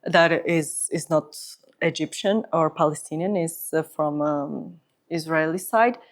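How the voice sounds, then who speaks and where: A woman's voice: sits low, measured pace. A woman from Ecuador with an Ecuadorian Spanish accent, telling personal stories as an interview guest. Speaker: podcast guest, humanitarian psychologist.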